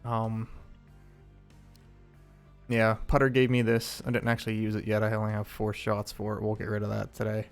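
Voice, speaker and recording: A man's voice: lowish voice, clean tone. A man streaming a video game live, chatting casually with viewers, rambling with lots of fillers as he loots and sorts gear.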